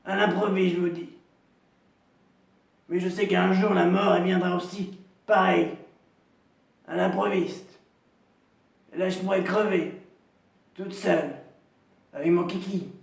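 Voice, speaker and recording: A man playing a lonely, proud woman in her fifties, a chain-smoking heavy drinker with a deep, gravelly voice who rambles slowly and repeats herself in a comic monologue. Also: little pitch movement, echoey room, distant mic. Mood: rambling. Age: 50s. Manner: slow, rambling, repetitive, self-pitying.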